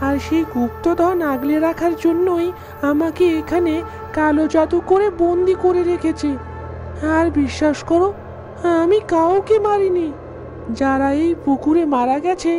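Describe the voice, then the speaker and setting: A drowned-pond spirit, a little boy held captive. The voice is extremely high and plaintive, pleading sorrowfully in animated-story style, with clear music playing behind it. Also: measured pace. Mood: earnest pleading. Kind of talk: animated horror story acting.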